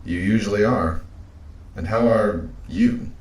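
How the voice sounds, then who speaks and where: An actor playing a calm, reserved crewmate in a mechanical suit in a science fiction audio drama, with measured, dry, formal speech. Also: male voice, on the low side. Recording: echoey, faint background noise.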